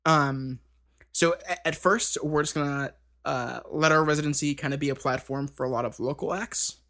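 A thirty-year-old man with a fairly high voice for a man, talking loosely in a casual podcast chat. He circles his point with lots of "like" and "um" and restarts his sentences. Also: fairly even intonation, normal speed, sharp tone. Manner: rambling, with many fillers and restarts. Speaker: band member co-hosting a podcast.

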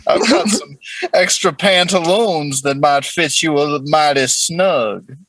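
A man voicing a lewd, old-fashioned Southern gentleman with a florid, courtly drawl in an improvised comedy role-play. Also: fairly high pitch, takes it slowly, slight background noise, poor audio. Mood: courtly, suggestive.

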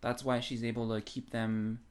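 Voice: mid-range voice, flat delivery, normal speed, clear, resonant tone. A podcast co-host discussing books casually, in an informal and agreeable manner.

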